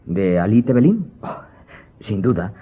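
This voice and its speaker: A man playing a curious, courteous, impressionable young aristocrat in a radio drama, with a husky voice. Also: on the low side, big pitch swings, unhurried, narrow, tinny audio, exaggerated voice. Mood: curious, amazed. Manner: inquisitive, courteous, marveling. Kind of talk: Spanish-language radio drama acting.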